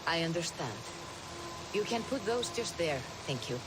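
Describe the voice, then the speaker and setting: An actress playing a calm, mystical seer in a video game cutscene, speaking in a measured, solemn way over noisy game audio. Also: female voice, fairly low pitch. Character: calm mystical female seer, middle-aged.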